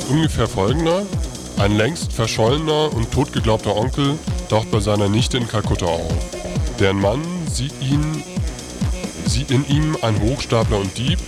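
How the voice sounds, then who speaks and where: Low-voiced man presenting over loud music. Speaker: radio presenter. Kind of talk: informal reading of news, weather and event listings. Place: radio studio. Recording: music playing under the voice.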